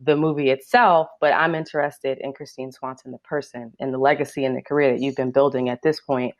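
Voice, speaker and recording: A woman's voice: very deep voice, steady tone, fairly quick, no room sound, lo-fi sound. A woman hosting a podcast, interviewing a guest over a video call in an upbeat, welcoming way. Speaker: podcast host. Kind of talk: casual interview.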